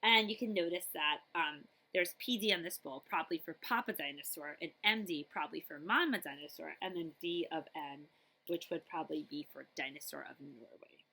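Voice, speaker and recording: A woman's voice: low voice, vocal fry, poor audio. A woman librarian reading a picture book aloud to children expressively, with chatty asides and questions to her listeners. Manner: animated, chatty, pausing to ask listeners questions.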